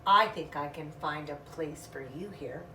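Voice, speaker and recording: A woman's voice: low voice, a little slow, bright, forceful tone, reverberant, far from the mic, a little hiss or hum, pitched below the performer's own voice, exaggerated voice. A woman voicing a polite, weary but patient mother mouse in a storybook reading. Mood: kindly.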